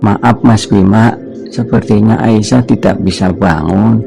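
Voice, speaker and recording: A man's voice: fairly low pitch, steady tone, a little slow, gravelly. A man voicing a grave, superstitious older servant in a cartoon, speaking solemnly with music behind. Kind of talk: cartoon voice acting.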